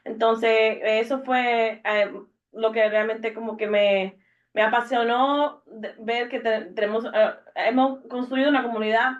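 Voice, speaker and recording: A woman's voice: a little high, fairly even intonation, measured pace. A young woman introducing herself casually on a podcast, hesitant and full of fillers and restarts. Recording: dull lo-fi podcast audio.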